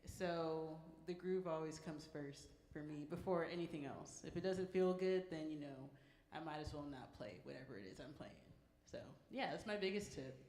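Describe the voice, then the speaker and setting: A woman's voice: deep voice, normal speed, darkish tone. A woman, a band musician, giving casual unscripted advice to an audience. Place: indoor museum performance space. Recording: echoey room, live event microphone.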